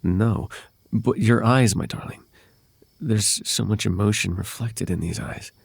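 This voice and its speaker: A man voicing a possessive fae royal in an ASMR roleplay, courtly and coaxing with soft menace under a silky, creaky voice. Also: lowish voice, measured pace, dry recording, clean studio sound, heavily acted. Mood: tender, coaxing. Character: possessive, silky male fae royal wooing a captive lover. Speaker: voice actor.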